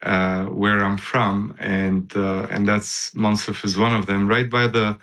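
A man's voice: fairly low pitch, flat delivery, takes it slowly, a bit bright. A man in his fifties from Lebanon, talking in an interview as a guest, with many fillers and restarts. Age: 50s. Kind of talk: casual interview talk.

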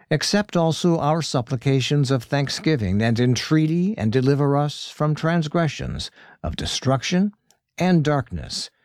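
A man reading a liturgical prayer slowly and solemnly. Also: mid-range voice, darkish tone, no room sound, clean studio sound. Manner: solemn, slow, deliberate.

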